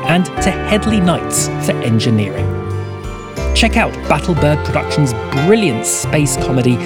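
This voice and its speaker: A man's voice: a little high, sing-song, moderate pace. A man reading a scripted podcast outro as its presenter. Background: music under the voice.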